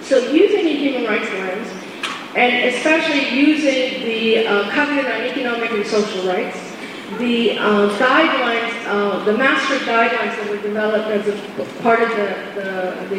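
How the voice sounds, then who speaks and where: A woman from India with an American Midwestern accent, giving an earnest, measured conference talk with fillers and restarts. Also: a little high. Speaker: conference speaker. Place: large conference hall. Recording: echoey hall recording from far off, background noise.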